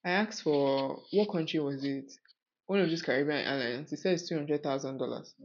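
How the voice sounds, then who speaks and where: A woman's voice: low-pitched, normal speed, lo-fi sound, slight background noise. A woman with a Nigerian accent hosting a podcast, talking casually and outspokenly with rhetorical questions. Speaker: podcast host. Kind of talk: casual conversational podcast talk.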